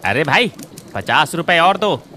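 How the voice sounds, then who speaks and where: Sharp, sneering villainous street vendor. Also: male voice, a little high, very animated, measured pace, slight background noise, exaggerated voice. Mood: smug. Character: sly, scornful biryani vendor, a cheating villain.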